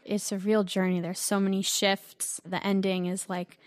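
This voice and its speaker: A teenage girl from New York talks about her singing as a radio interview guest. She speaks in an earnest, youthful way, with a voice of middling pitch. She comes across as an open, thoughtful young classical singer. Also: flat delivery, normal speed. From Brooklyn, New York. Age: teens. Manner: earnest, youthful, sometimes laughing.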